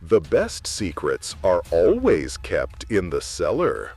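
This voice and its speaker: A man voicing a falsely kind older male neighbor in a suspense story, with a smooth, cooing, gentle murmur. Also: low-pitched, huge pitch swings, slow, faint music behind, exaggerated voice. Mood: smoothly menacing. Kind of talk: storybook suspense character dialogue.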